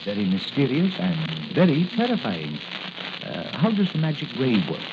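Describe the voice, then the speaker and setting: Casting: kindly fretting older doctor, musing and hesitant, very animated pitch; old radio drama under heavy noise. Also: male voice, moderate pace. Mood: fond, curious. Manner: hesitant, musing, repeats himself fondly. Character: kindly, fussy older doctor-inventor, fatherly and anxious. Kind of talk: 1940s radio acting. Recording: very noisy, dull 1940s radio recording.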